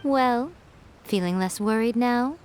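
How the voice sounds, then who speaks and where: A woman playing a poised, self-assured shrine priestess in an anime game, with a knowing, teasing, measured delivery. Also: fairly high pitch, very noisy. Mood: slyly amused. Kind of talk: anime game dub acting. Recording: music behind.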